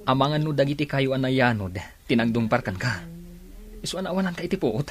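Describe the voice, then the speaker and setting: An actor playing an excitable, worried young man in a radio drama, with an Ilocano Filipino accent and an exclamatory delivery. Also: mid-range voice, brisk, lightly acted. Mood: relieved, teasing. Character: excitable, worried young male friend of an injured surfer.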